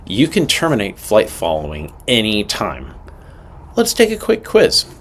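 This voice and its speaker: A man's voice: lowish voice, huge pitch swings, a little slow. A man narrating a scripted training lesson as an instructor, in a direct, matter-of-fact way with emphatic points. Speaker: instructor presenting a pilot training video.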